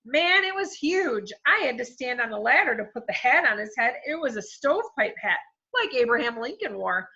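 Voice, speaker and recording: A woman voicing a boastful young schoolboy, loud and excitable, on a dull lo-fi recording. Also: on the high side, normal speed, exaggerated voice. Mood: excited.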